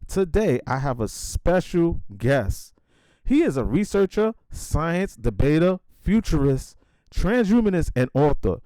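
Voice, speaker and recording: Podcast host, male, hesitant halting questions, dark mellow voice, dry sound. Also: a little high, very animated, dry recording, poor audio. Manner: hesitant, many fillers and restarts in questions; emphatic hype intro. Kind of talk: casual interview chat.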